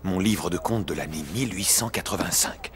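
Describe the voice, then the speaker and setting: A man playing a courteous, wistful painter of old Montmartre in an animated series. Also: deep voice, moderate pace, background noise, lightly acted. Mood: helpful. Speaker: voice actor. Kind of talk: French animated series dialogue.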